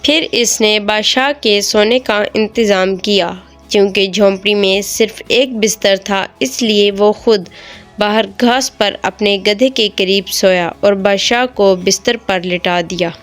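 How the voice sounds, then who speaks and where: A woman's voice: medium pitch, measured pace. A woman storyteller reading a scripted moral tale as narrator. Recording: music behind the voice.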